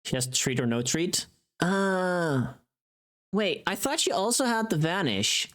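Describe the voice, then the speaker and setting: Casual livestream host: playful and teasing, very animated pitch, slow rambling delivery. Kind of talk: casual chat with live viewers.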